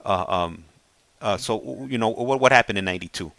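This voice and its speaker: A man's voice: on the low side, brisk, gravelly, a bit bright, dry recording. An American man in his forties hosting a casual interview, hesitant and repeating words as he works toward each question. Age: 40s. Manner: hesitant, repetitive phrasing, stammering over questions. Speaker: host interviewer.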